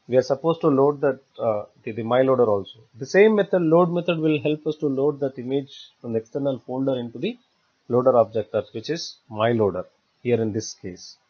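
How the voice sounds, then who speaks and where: A man giving a step-by-step software tutorial in a halting, repetitive way. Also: medium pitch. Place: voice-over for screen recording. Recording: dull, lo-fi screencast audio.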